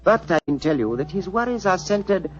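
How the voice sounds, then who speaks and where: A suave Indian prince, with a slightly high voice that rises and falls widely. He is courtly and formal, choosing ornate, deferential phrases in 1940s radio-drama style. The sound is dull, as on an old broadcast. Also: sing-song, a little fast, a little hiss or hum. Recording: muffled 1940s radio recording.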